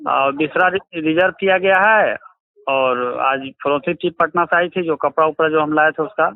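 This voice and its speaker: बिहारी लहजे वाला आदमी, पतली फ़ोन आवाज़. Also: male voice, fairly high pitch, moderate pace, no room sound. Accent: Bihari. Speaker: interviewee, relative of a crime victim. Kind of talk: recounting events in a phone interview. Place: phone call. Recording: tinny, choppy phone line.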